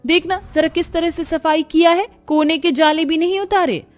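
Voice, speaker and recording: A haughty young rich wife acted by a woman, pitched high and whiny. She complains and mocks like a spoiled cartoon in-law, with music playing behind her. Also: fairly even intonation, normal speed. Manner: whiny, mocking, scornful complaining. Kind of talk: cartoon family drama voice acting.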